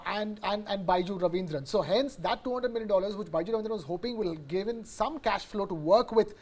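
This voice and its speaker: A man with an Indian accent giving unscripted live news commentary, haltingly, repeating words as he explains. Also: high-pitched, darkish tone. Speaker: news correspondent analyst.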